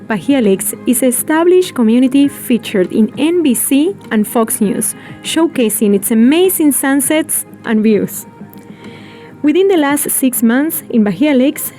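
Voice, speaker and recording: A woman from Argentina, a native Spanish speaker, delivers a scripted real estate pitch to camera. Her voice sits high, with faint music playing under it. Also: dark tone. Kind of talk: scripted promotional video.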